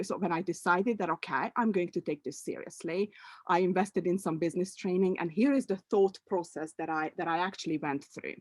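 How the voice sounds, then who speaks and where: A middle-aged woman from Hungary, a native Hungarian speaker, gives an online presentation about her coaching business. Her voice sits fairly low for a woman, and the sound is dry and close, as over a video call mic. She hesitates often, filling gaps with "uh" and restarting her phrases. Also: a little mellow, no echo. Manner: hesitant, many fillers and restarts. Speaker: language coach presenting a webinar.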